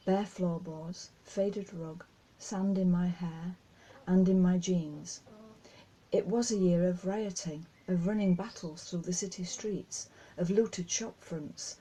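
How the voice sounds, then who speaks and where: Slow, husky woman reading poetry. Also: fairly low pitch, warm tone, poor audio. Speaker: poetry reader. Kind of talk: reading a poem aloud.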